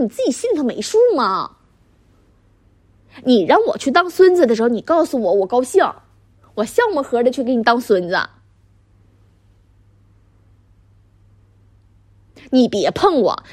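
A woman performs a middle-aged wife in a dramatic, one-sided row with her husband. Her high, husky voice swings widely as she scolds with sarcasm and coarse swearing. She has a Northeastern Chinese accent and sounds furious and scornful. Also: dry recording, studio quality, full character voice. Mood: angry, disgusted.

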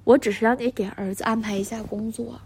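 A woman playing a furious middle-aged wife and mother with a Northeastern Chinese accent and a husky voice, berating her husband in coarse, sarcastic language in a dramatic monologue. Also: a little high, steady tone, a little fast, a little mellow, slight background noise, lightly acted. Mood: scornful, exasperated. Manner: scolding, sarcastic, coarse swearing. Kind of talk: dramatic one-sided argument monologue.

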